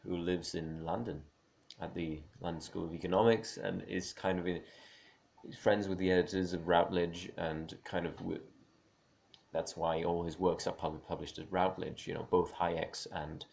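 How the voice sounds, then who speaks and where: Very deep, gravelly-voiced man musing aloud. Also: a little mellow. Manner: halting, with frequent restarts and small laughs; musing, opinionated. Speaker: speaker on creative careers. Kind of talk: unscripted spoken monologue.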